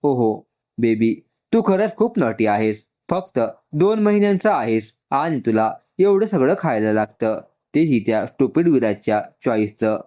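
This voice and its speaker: Man acting as young pregnant woman, storybook character, cooing, mock-scolding, animated pitch, slightly muffled recording. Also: a little slow, natural voice. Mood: playful scolding. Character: young pregnant woman, playful, chatting to unborn baby.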